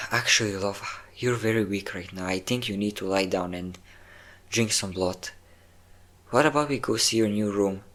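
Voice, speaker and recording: A man's voice: on the low side, moderate pace, a bit bright, natural voice. A man playing a possessive, obsessive vampire lover in an intimate, dramatic roleplay audio drama. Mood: relieved, tender.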